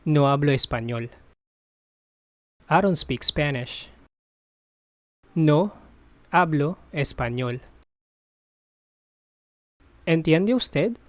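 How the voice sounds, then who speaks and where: A teacher reading a phrasebook drill. Each phrase is said once, translated, then repeated slowly in parts. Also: medium pitch, no echo. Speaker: language teacher.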